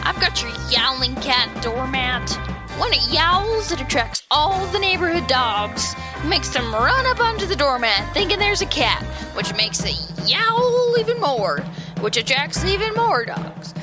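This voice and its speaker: An exasperated, demanding lady customer played by a woman in a radio comedy. Her voice is very high and animated as she fusses and complains, with loud music behind. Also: measured pace. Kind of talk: comedic radio theater acting.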